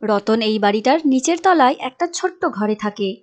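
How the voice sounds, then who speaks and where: A woman's voice: on the high side, lively intonation, brisk. A woman narrating a cartoon moral tale in a scripted storytelling style.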